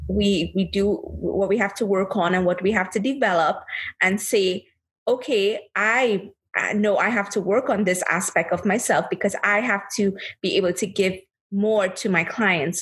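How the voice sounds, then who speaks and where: A woman in her thirties sharing reflections in a casual group discussion, earnest and thoughtful, dropping into quick quoted asides. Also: mid-range voice, a bit bright, breathy edge. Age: 30s. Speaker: panel guest.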